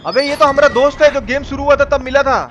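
A man's voice: very high voice, fairly even intonation, quick pace, noisy background. A man with a Bihari accent giving casual, slangy gameplay commentary as a live streamer, cursing and repeating himself as he chats with viewers. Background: game music.

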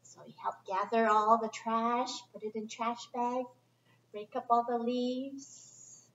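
A middle-aged woman doing a chatty, expressive children's read-aloud with informal asides, speaking in simple phrases for young listeners. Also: fairly high pitch, takes it slowly. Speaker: storyteller reading a picture book to children. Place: indoor library children's area. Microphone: distant camera microphone. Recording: echoey room, distant muffled camera sound.

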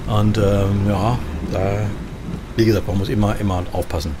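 A low-pitched man chats casually as he drives in a truck game. He sounds relaxed and thinks out loud, with clear vehicle noise behind his voice. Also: normal speed. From Germany. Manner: chatty, relaxed, thinking aloud. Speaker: gaming video host playing a trucker. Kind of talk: casual role-play commentary while driving in a truck simulator. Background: truck simulator game sound.

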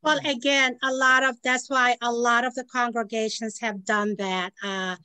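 A woman speaking on a video call, explaining plans in a halting way with many restarts and fillers. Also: fairly high pitch, fairly even intonation, sharp tone, clear, resonant tone, no echo. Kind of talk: casual discussion on a video call.

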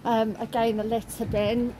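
A woman in her thirties walking city streets and pointing out shops and sights, talking haltingly with many ums and uhs into a phone camera held at arm's length. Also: fairly high pitch, flat delivery. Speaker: travel vlogger. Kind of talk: walk-and-talk vlog narration. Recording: street noise behind the voice. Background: traffic and street ambience.

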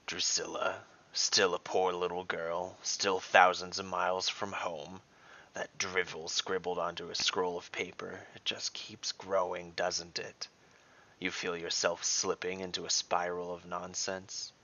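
A man voicing a sinister, taunting dragon with a hissing, breathy whisper, speaking slowly and deliberately in an audio story. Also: low voice, flat delivery, sharp tone, highs cut off, heavily acted. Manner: slow, deliberate. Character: sinister taunting male dragon, menacing and mocking.

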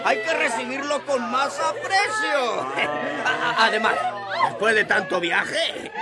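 A man's voice: very high voice, expressive, slow pace, heavy background noise, heavily acted. A man playing a merry, friendly Galilean villager in a radio drama, exuberant and coaxing. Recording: loud festive crowd behind.

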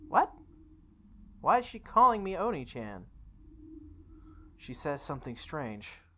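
A woman's voice: deep voice, sing-song, slow, sharp tone. A woman reading visual novel narration aloud, with casual, chatty asides.

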